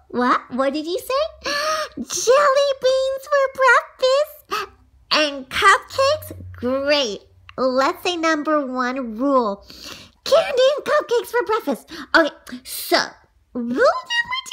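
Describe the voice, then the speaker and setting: An excitable, mischievous little girl, tells her storybook adventures. Her voice is high and bright and swings widely in pitch. She lingers on words at an unhurried pace, full of giggly gushing. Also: heavily acted. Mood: gleeful excited. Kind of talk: animated children's storytelling.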